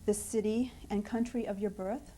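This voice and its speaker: Woman interviewer, very mellow dark voice, faint hiss behind, formal questions. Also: medium pitch, steady tone, normal speed.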